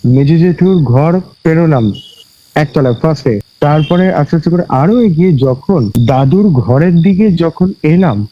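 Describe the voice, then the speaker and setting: Animated, high-voiced young storyteller, a man. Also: dull audio. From West Bengal, India. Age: 20s. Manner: halting, repeats phrases, acts out calls. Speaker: guest recounting a personal ghost experience. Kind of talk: conversational spoken storytelling. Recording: music bed with cricket and insect sounds.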